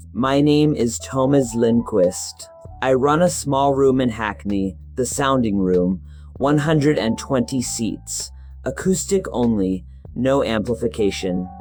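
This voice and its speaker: A man playing a formal music venue owner in an audio drama, precise and courteous, in short deliberate phrases. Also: mid-range voice, a little mellow, close to an everyday voice.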